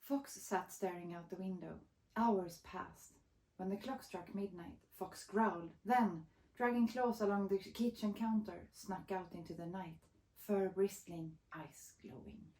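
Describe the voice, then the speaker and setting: A woman narrating a children's picture book. Her voice is mellow and warm in tone, with an expressive storytelling delivery. The room adds a clear echo. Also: medium pitch, measured pace. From Sweden. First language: Swedish.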